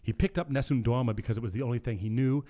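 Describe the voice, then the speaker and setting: A man's voice: mid-range voice, racing, dark tone. A man co-hosting a podcast, chatting casually with lots of swearing and stumbling through a story he is sight-reading. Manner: profane, joking, stumbles over words while reading. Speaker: podcast co-host.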